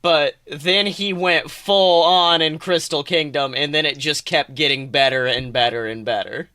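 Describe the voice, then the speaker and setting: A woman's voice: low voice, measured pace, sharp tone. A woman co-hosting a podcast, chatting casually as a fan and swearing freely. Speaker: podcast co-host.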